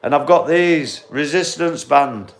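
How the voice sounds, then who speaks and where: A man's voice: fairly high pitch, unhurried, a little hiss or hum. A man in his forties hosting a casual livestream, talking bluntly and swearing, calling his viewers mate and bro. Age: 40s. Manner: blunt, matey, swears, calls everyone mate. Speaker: livestream host. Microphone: phone.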